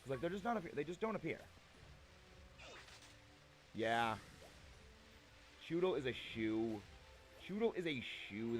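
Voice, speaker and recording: Young male streamer, slightly gravelly, lively pitch, chatty and playful with chat. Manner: playful, chatty, repeats himself, reads chat aloud. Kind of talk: casual live-stream chat with viewers.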